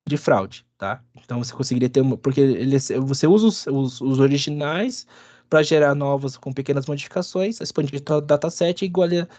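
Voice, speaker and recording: A man with a bit of a cold, giving a halting, filler-heavy live coding lesson at his computer. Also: medium pitch, mellow, no room sound. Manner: halting, many restarts and fillers. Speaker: instructor. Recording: dry, dull lo-fi sound.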